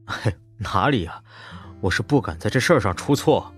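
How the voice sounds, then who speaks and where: A modest, steady young man, played by a man speaks courteously in a husky voice. Soft music runs under this audiobook drama. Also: on the low side, moderate pace. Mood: polite.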